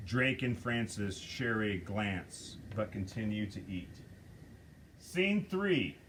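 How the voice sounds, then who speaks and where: A man reading stage directions aloud at a script table reading, slow and measured. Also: on the low side, lively intonation, a bit bright, a little hiss or hum, low-quality recording.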